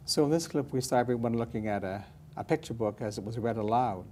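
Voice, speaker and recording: A man with a mellow, warm voice interviews students about a lesson. He asks gentle, leading questions. Faint room ambience sits behind him. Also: medium pitch, fast talker, a little hiss or hum. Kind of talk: classroom interview.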